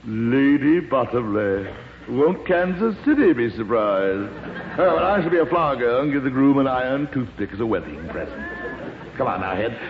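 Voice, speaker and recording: A man's voice: mid-range voice, big pitch swings, moderate pace. An actor playing an acerbic, teasing male critic in a 1940s radio comedy, trading sardonic jabs. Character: acerbic, waspish male celebrity critic confined to a wheelchair. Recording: old radio broadcast, reverberant, dull, faint hiss.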